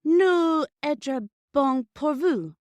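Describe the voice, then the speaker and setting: A woman's voice: high voice, lively intonation, very slow, a little mellow, no echo, voice pushed up, full character voice. A woman voicing an earnest, kind-hearted young girl who speaks pleadingly in a storybook reading. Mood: halting, kind. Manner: earnest, pleading, hesitant with French.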